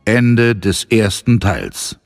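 A man's voice: fairly low pitch, very animated, extremely slow, gravelly, soft background music. A man reading scripted dramatic narration as a story narrator.

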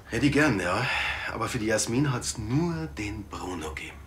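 A man's voice: fairly low pitch, expressive, moderate pace, a bit bright, a little hiss or hum. An actor playing a cocky, casual man, talking in offhand, clipped phrases in a television crime comedy.

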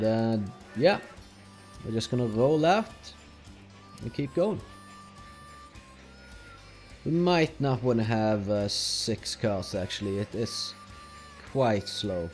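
A young man from Norway with a Norwegian accent, speaking English as a second language while casually commenting on his gameplay step by step. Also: mid-range voice. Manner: relaxed, explaining step by step with fillers. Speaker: let's play host.

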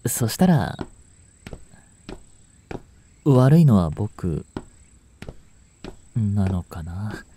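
Man acting as a mellow, soft-spoken brooding teacher. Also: on the low side, sing-song, very slow, long pauses, a little hiss or hum, full character voice. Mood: dejected, musing. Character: gentle male chemistry teacher, brooding, turning possessive. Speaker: voice actor in a fan voice drama. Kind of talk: Japanese audio drama monologue, intimate acting.

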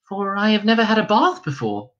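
A man voicing a bewildered young woman who fell from the sky, speaking in a halting, formal, plain way. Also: high-pitched, very animated, fairly bright tone, dull audio, voice pushed up. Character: fallen star, young woman, bewildered and hurt.

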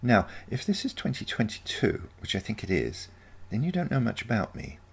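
A man's voice: on the low side, huge pitch swings, normal speed, vocal fry, husky, pitched above the performer's own voice, full character voice. A man voicing a breezy, naive teenage granddaughter from the future in a storybook reading. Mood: matter-of-fact.

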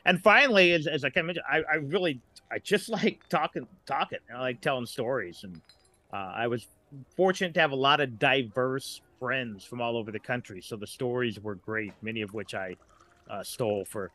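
A man's voice: mid-range voice, brisk, pushed and bright. An American man in his late forties chatting casually as a podcast guest, in a rambling storyteller's way. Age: late 40s. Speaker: guest, writer.

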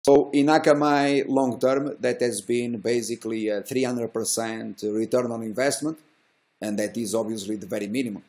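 A middle-aged man who is not a native English speaker, giving unscripted market commentary in halting fragments with drawn-out hesitations and a self-assured manner. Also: medium pitch, steady tone, a little mellow. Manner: self-assured, boastful about results. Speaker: stock trading educator and host. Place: small indoor room.

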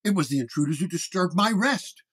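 A man's voice: high-pitched, animated, fairly quick, fairly bright tone, husky, exaggerated voice. A man voicing the proud, angry ghost of a warrior in solemn, formal declarations for a radio drama.

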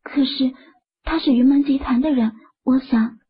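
A high-voiced, anxious young woman in an audio drama. She is timid and breathy-husky, with a slightly dull sound on the recording. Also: normal speed.

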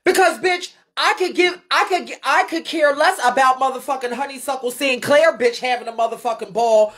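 A woman's voice: high voice, moderate pace, a bit bright. An American woman on an unscripted live stream, ranting bluntly and emphatically with heavy swearing and repeated phrases. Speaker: live streamer and ballroom scene commentator. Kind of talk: unscripted live rant answering viewer comments.

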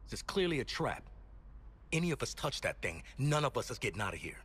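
An actor playing a proud, exasperated assassin in a video game, sarcastic and no-nonsense, with an American accent. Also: male voice, medium pitch, big pitch swings, quick pace, fairly bright tone, husky. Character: proud, exasperated male assassin, irritable and hard-nosed. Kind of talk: video game cutscene acting.

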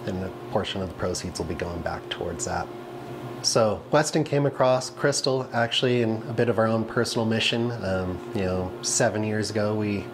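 A man's voice: sits low, noisy background. A man in his thirties giving a casual, unscripted product presentation as a brand representative, chatty and conversational with frequent filler phrases. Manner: conversational, peppered with "you know". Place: indoor showroom with wooden pallet wall.

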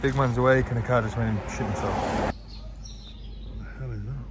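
A man's voice: mid-range voice, fairly even intonation, fairly quick, heavy background noise. A young man narrating a casual family vlog, blunt and joking, with casual swearing. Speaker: vlogger. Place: car and home, family outings.

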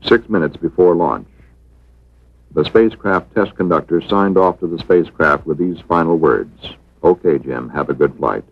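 Deep-voiced man narrating a vintage documentary. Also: breathy edge. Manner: measured, matter-of-fact. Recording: dull vintage 1960s film soundtrack.

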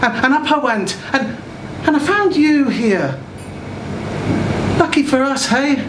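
A man's voice: very high-pitched, expressive, measured pace. A middle-aged man reading a short story dramatically as a naive, chatty, frightened child, with hesitant, stammered repeats. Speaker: reader at a live short story event. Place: small indoor event room. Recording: roomy, distant camera recording with loud noise.

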